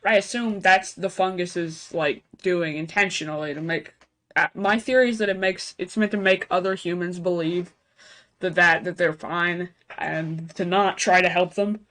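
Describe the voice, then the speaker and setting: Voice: mid-range voice, steady tone, normal speed, pushed and bright. A reaction video host reading on-screen text aloud and breaking into casual, speculative asides. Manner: casual asides between read-out passages.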